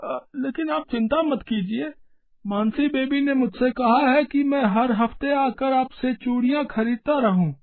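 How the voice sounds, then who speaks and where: Casting: woman as loyal male chauffeur, extremely high pitch, hesitant, deferential, storybook dialogue, narrow phone-like audio. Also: a little slow. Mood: respectful, hesitant. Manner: hesitant, respectful. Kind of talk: acted dialogue in a moral story.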